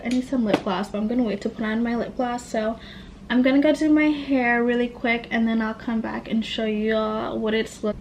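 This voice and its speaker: A woman's voice: on the high side, noisy background. A woman in her late twenties from Tacoma, Washington, chatting candidly during a makeup Q&A, full of "like" and slang. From Tacoma, Washington, USA. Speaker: beauty vlogger. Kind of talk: casual get-ready-with-me Q&A chat.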